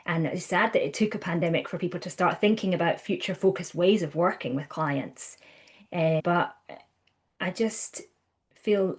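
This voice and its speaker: A Scottish woman in her thirties speaks as a podcast guest, her voice sitting on the low side. She talks in a chatty, lively way, restarting phrases and acting out what others said. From Scotland. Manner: chatty, animated, with restarts and acted-out quotes. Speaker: guest counsellor. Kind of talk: conversational podcast interview.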